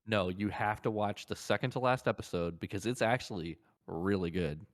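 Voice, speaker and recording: Male podcast co-host, lowish voice, informal, emphatic, repeats himself to drive points home. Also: moderate pace. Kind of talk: casual chat about games and shows.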